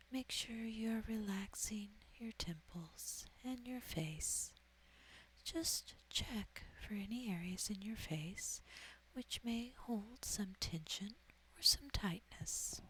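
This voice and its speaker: A woman guiding an ASMR meditation in a breathy, whispery, soft-spoken voice, soothing and gentle. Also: medium pitch, a little hiss or hum, rough recording. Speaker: meditation guide, ASMR host.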